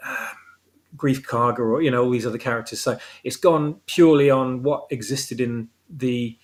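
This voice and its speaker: A man's voice: mid-range voice, moderate pace. A man discussing his own story as a guest writer, speaking casually and hesitantly as he searches for words. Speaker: guest writer of a fan audio drama.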